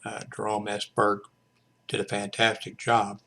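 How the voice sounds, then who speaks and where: A man in his seventies talking casually and haltingly in an unscripted home video review, with frequent mid-sentence pauses. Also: lowish voice, completely flat delivery, gravelly, sharp tone. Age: 70s. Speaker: radio hobbyist reviewing a portable shortwave radio. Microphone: webcam microphone.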